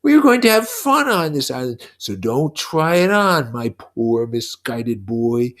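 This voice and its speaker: A narrator voicing a menacing, mocking pig's head, the beast, with drawn-out schoolmasterly condescension in a story read aloud. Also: mid-range voice, very animated, pitched above the performer's own voice. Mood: angry, threatening.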